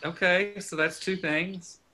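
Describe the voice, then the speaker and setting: A man, a pastor, leading a small group on a video call in a friendly way, asking quick questions and laughing often. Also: sits high, fairly even intonation, sharp tone.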